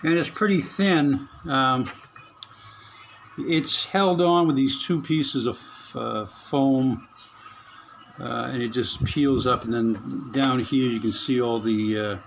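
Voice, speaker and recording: A middle-aged man at a mid pitch talks casually through his hobby radio repair. He halts often, fills gaps and chuckles softly to himself. Faint room sound sits behind him in the small spare room. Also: creaky, a little hiss or hum. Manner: halting, many fillers, chuckles to himself. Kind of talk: casual hands-on narration.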